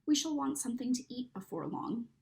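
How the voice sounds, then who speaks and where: A woman's voice: a little high, animated, fairly quick, creaky, a little mellow. A woman voicing a fretful, careful older schoolgirl in a storybook, plaintive and proper. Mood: fretting.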